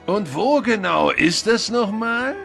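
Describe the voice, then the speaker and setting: A man voicing a goofy, naive, eager truck in a German-dubbed animated cartoon, with an exuberant manner. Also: high voice, slow pace, gravelly, faint music behind, exaggerated voice.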